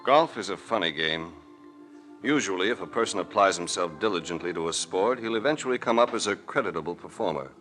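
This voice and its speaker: An actor playing a composed, dryly witty adventurer-detective, narrating and talking in 1940s radio drama style. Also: male voice, deep voice, lively intonation, fairly quick, fairly bright tone, soft background music. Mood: wry, composed. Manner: dry, composed, articulate. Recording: vintage radio recording with faint noise.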